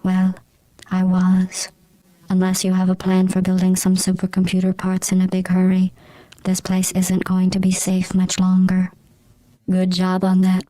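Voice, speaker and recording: A woman voicing a cold, murderous, sarcastic artificial intelligence in a video game, deadpan, with a synthetic voice processed flat and robotic. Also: dry recording, poor audio. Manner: monotone, deadpan, menacing. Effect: robotic pitch-flattened voice processing. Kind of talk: scripted video game villain monologue.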